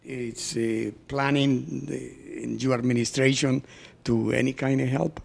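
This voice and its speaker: Guy interviewing, slow and halting, pretty creaky voice. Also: mid-range voice, little pitch movement. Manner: halting, hesitant non-native phrasing. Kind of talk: interview questioning.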